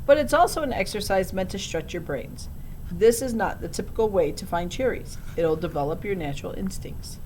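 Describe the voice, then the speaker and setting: A woman voicing a bouncy, brisk female schoolteacher in a storybook. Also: animated, darkish tone, close to an everyday voice, poor audio. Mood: brisk, gleeful. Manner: emphatic, brisk. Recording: low rumbling background noise.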